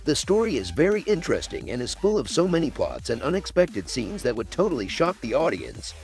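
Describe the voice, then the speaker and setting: Man narrating a countdown, lively pitch, music behind. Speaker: video narrator and host.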